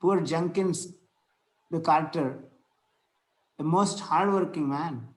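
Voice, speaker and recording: A man playing a courteous, solemn Victorian clergyman in a play read-through, speaking formally and a little haltingly. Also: fairly high pitch, low-quality recording. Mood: courteous, earnest. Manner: formal, preachy, halting as he reads. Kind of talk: amateur play table read.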